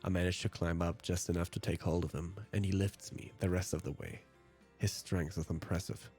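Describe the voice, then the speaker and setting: A man's voice: sits low, steady tone, fairly quick, darkish tone, soft background music. A man whose native language is German, hosting a let's play and reading visual novel narration aloud.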